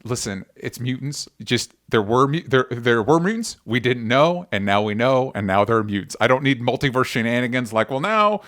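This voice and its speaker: A man's voice: mid-range voice, animated, moderate pace, hardly any pauses, a bit bright, no room sound, studio quality. Casual man hosting a podcast. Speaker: podcast host. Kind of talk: casual chat.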